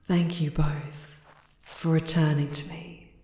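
A woman performs a regal monster queen in an intimate ASMR romance. She speaks very slowly in a breathy voice that often sinks to a whisper, tender and possessive. The sound is low in pitch and echoes around a roomy space. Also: flat delivery, a little mellow. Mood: tender, devoted. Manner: slow, doting, sensual endearments.

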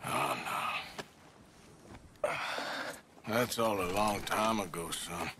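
An actor playing a gruff, protective American outlaw in a Western video game, with a folksy, plain way of talking. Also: male voice, very high-pitched, very animated, measured pace, background noise, exaggerated voice. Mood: calming, gentle. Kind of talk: dramatic video game acting.

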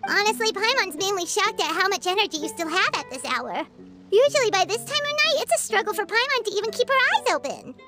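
A tiny female fairy companion from an animated game, squeaky and extremely high. The cheeky, excitable voice swings dramatically up and down, with music clearly playing behind it. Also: fairly quick. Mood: curious. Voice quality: squeaky, childlike. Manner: refers to self in third person, dramatic. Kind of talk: animated video game voice acting.

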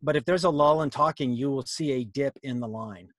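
A man in his fifties explaining things casually in a halting, think-aloud way on a video call. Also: mid-range voice, no room sound. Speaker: workshop presenter. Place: small cluttered indoor room.

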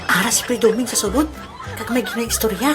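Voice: medium pitch, brisk, over music, crowd noise, natural voice. A performer playing a nosy, easily shocked villager with a Filipino accent in a comic audio drama, muttering hesitant asides. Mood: suspicious, flustered. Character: Albedo, nosy, easily shocked village man eavesdropping on friend.

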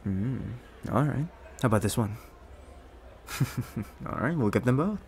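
A man playing a rich, possessive, doting boyfriend in a soft-spoken, coaxing ASMR roleplay. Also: medium pitch, slight background noise. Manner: soft-spoken, unhurried, coaxing with pet names. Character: rich possessive young boyfriend, smooth, doting, quietly menacing.

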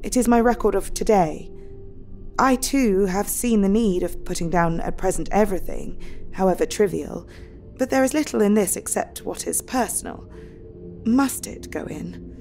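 A woman's voice: medium pitch, expressive, moderate pace, vocal fry, a little mellow, faint music behind. An actress playing a polite, earnest young married Victorian woman, composed and formal as she keeps a journal in an audio drama. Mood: earnest, shy.

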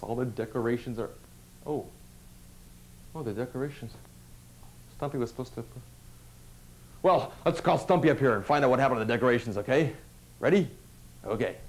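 A man's voice: medium pitch, moderate pace, pauses often, natural voice. Chummy janitor host, played by a man, noisy tape. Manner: chummy, coaxing, addressing viewers and puppet. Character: friendly funny janitor, patient children's show host. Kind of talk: children's puppet show parody acting. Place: small indoor puppet show set. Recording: noisy old videotape studio sound.

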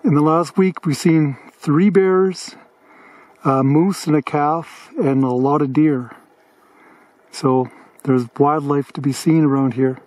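A man filming a hike and calmly pointing out the views and wildlife from behind the camera on a mountain road. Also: on the high side, slow, dry recording. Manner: plain, matter-of-fact pointing out of sights. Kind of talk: casual narration behind the camera. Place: outdoors on a mountain forest road. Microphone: camera mic, speaking from behind it.

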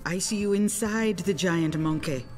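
A woman's voice: fairly low pitch, a little mellow, faint music behind, close to an everyday voice. A woman playing a mysterious, solemn fortune-telling priestess in a video game, speaking ominously. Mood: trance-like. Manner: slow, ominous, portentous.

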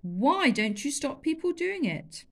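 A woman voicing a bad-tempered man, an elephant master who shouts and scolds furiously. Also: high-pitched, sing-song, a little slow, pitched above the performer's own voice, full character voice. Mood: scolding.

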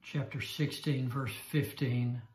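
Slow, emphatic older man teaching Scripture. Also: medium pitch, fairly even intonation, a little mellow, roomy sound. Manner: slow, emphatic, repeats key phrases. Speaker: Bible teacher. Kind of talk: Bible study lecture. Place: small room indoors. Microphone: lapel mic.

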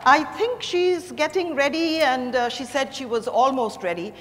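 A woman's voice: high-pitched, normal speed, fairly bright tone. An Indian-accented woman playing a genteel, controlling mother and hostess in a staged drama reading. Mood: polite, hostess-like. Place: large hotel ballroom stage. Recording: echoey hall with room noise.

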